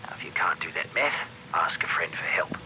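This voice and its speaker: An actor playing a cocky, sardonic mercenary commander giving a blunt briefing in a video game audio log. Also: male voice, low voice, expressive, moderate pace, sharp tone, slight background noise, heavily acted, poor audio. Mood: sardonic, scheming.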